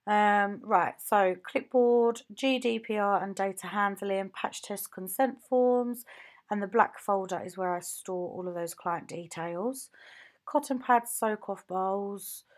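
A woman's voice: medium pitch, slow. A woman nail technician from the UK, chatting casually as she shows her home studio on a handheld phone, rambling with lots of ums and likes. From United Kingdom. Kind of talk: casual show-and-tell vlog.

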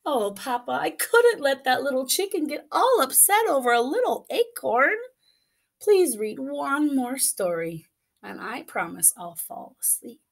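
A woman's voice: high voice, sing-song, unhurried, creaky, pitched above the performer's own voice, exaggerated voice. A woman voicing an eager little girl chicken in a storybook, pleading and dramatic in a childlike way.

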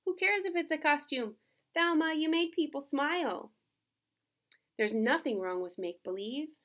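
A woman reading aloud, voicing a loyal, kind male horse who encourages his friend warmly. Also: high-pitched, moderate pace, voice pushed up. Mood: encouraging. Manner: warm, encouraging.

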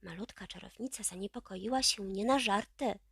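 A woman narrating a children's audiobook in an expressive storytelling style. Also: medium pitch, normal speed, vocal fry, breathy edge, no room sound, clean studio sound.

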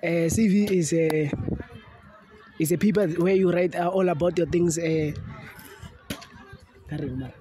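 Young guy fumbling quiz answers outside, mellow kinda high voice. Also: steady tone, measured pace, pauses often, a faint crowd, slight background noise. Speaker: interviewee. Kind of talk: casual chat, mixing languages. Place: outdoors on a school field. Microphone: handheld phone mic held by interviewer.

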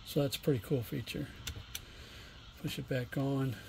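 A middle-aged man giving a casual, unscripted product demonstration in a plainspoken, halting way. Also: mid-range voice, fairly even intonation, gravelly. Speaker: online seller demonstrating used radio equipment. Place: indoor home workshop bench. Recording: room noise, homemade camera recording.

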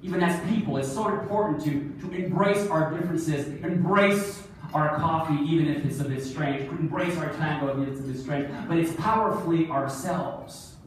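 A man in his forties telling stories as a keynote speaker on stage, lively, asking the audience questions. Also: fairly high pitch, moderate pace, few pauses, a little hiss or hum. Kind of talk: storytelling keynote speech. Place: large conference hall, on stage. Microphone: phone camera far from the stage. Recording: echoey hall recorded from far off.

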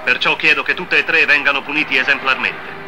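An actor playing a vindictive medieval counsellor in a religious cartoon, formal and declamatory. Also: male voice, mid-range voice, steady tone, fairly quick, over music, natural voice. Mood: accusing.

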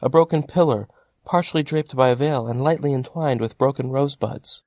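A man's voice: mid-range voice, brisk, a little mellow, no echo, natural voice. Man as polite mourner, dry close sound. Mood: composed. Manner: courteous, composed. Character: polite, composed bereaved male funeral client. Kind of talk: audio drama acting.

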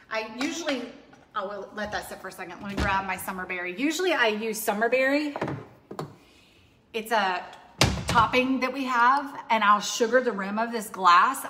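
A woman's voice: mid-range voice, moderate pace, background noise. A woman in her forties chatting casually as she demonstrates a drink recipe in her home kitchen, upbeat and rambling with frequent restarts. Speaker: cooking demo host. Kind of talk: casual live cooking demonstration. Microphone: overhead camera from a distance. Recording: echoey kitchen with long reverb.

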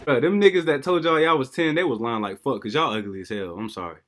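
A man's voice: mid-range voice, quick pace. A man with an American accent in a crude, slangy, mocking rant.

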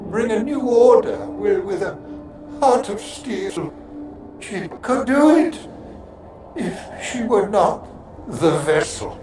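An actor playing an older captive scholar mage, broken and rambling, speaking haltingly and poetically in a theatrical video game style. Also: male voice, high-pitched, lively intonation. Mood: awed, halting. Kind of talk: dramatic video game acting. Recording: echoing voice over loud music.

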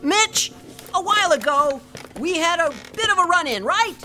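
Bright, very high sly kid. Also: female voice, expressive, soft background music, full character voice. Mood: sly, pleading. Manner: pushy, fast-talking logic. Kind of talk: comic audio drama.

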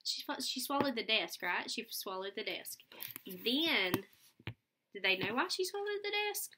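A woman's voice: a little high, expressive, unhurried, noisy background. A young adult woman reading a picture book aloud to children, explaining simply and putting questions to her listeners. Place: small furnished room at home, indoors. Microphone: computer webcam at about arm's length.

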